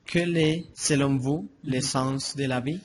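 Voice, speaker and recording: Slow formal male interviewer. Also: mid-range voice, slight background noise. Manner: slow, formal question phrasing. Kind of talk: reading prepared interview questions.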